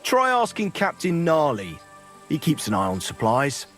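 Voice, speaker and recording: An actor playing a helpful common castle guard in a video game, with a folksy, cautioning manner. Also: male voice, fairly high pitch, wildly swooping pitch, a little slow, a bit bright, faint music behind, exaggerated voice.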